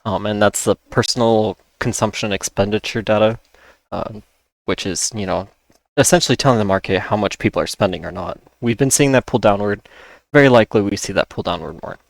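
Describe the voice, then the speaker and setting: A man's voice: on the low side, fairly quick, creaky, dry recording. A man hosting an informal market rundown, explaining and repeating key points, with a voice that is wearing out. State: losing his voice, with occasional coughing. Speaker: host of a stock market analysis channel.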